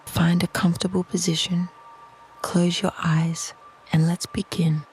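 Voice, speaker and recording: A meditation narrator speaking slowly and softly in a soothing, near-whispered delivery, reading a scripted guided visualization. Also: mid-range voice, flat delivery, faint music behind. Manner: slow, soft, soothing, breathy near-whisper phrasing.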